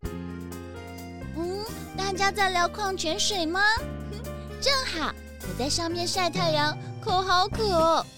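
Very high, girlish starlet. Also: takes it slowly, over music. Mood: bubbly. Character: cutesy, excitable young female celebrity passenger. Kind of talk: children's audio drama acting.

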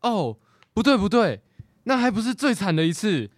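A man's voice: high voice, very animated, moderate pace, no echo, studio quality. A teasing teenage brother who ribs his sister about old mishaps. His voice swings up and down a lot in a children's radio drama. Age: teen.

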